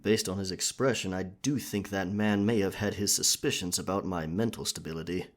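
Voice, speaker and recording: A man's voice: low voice, brisk. A man voicing an earnest, courteous royal knight in a scripted roleplay audio, speaking formally and chivalrously. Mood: cautious planning. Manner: formal, chivalrous, wordy speech.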